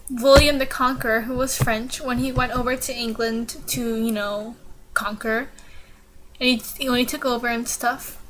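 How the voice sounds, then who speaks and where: A woman's voice: fairly high pitch, steady tone, moderate pace, a bit bright, slight background noise, low-quality recording. Giggly awkward teen girl vlogger. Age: teens. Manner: giggly, awkward, many ums. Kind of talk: casual informative vlog.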